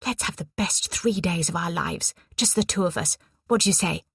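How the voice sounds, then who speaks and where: A woman's voice: on the low side, vocal fry, husky, dry recording, studio quality, heavily acted. A woman doing a bubbly, teasing young wartime nurse. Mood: exuberant. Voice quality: brisk, teasing, exuberant.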